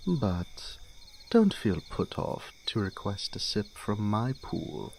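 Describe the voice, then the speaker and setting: A man performs an urbane young lord from a fantasy audio drama, teasing and archly formal. His deep voice moves slowly, with a creaky edge. Faint insect noise sits behind him. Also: big pitch swings, exaggerated voice. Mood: sly, barbed. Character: teasing, urbane young nobleman, elder brother in fantasy court.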